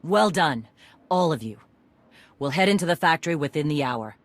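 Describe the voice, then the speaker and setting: A woman's voice: low-pitched, lively intonation, normal speed, dry recording. An actress playing a tough, blunt female clan commander in a video game, with a dry, authoritative manner. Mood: commanding.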